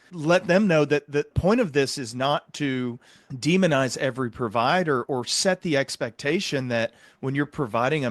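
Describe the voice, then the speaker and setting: A man hosting an interview, talking earnestly and explaining his points with measured emphasis. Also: mid-range voice, dry recording. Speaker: podcast host.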